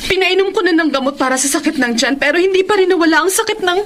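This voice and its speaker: Devoted worried wife and mother, radio drama: high pitch, pleading, slight noise. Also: quick pace. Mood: distressed. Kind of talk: Filipino radio drama acting.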